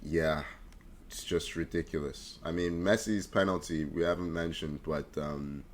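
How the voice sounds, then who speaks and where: A man's voice: extremely low pitch, fairly even intonation, a little slow, slight background noise. A man co-hosting a football podcast, talking casually and informally, with some swearing. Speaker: podcast co-host.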